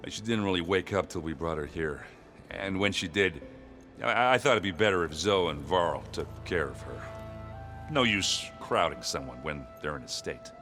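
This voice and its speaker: A big-hearted young fighter rambles in a very low voice with a bright, forceful edge. His talk is loose and friendly. Soft music sits underneath. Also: lively intonation, moderate pace. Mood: musing. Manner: warm, rambling, easygoing. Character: friendly, boisterous young male warrior.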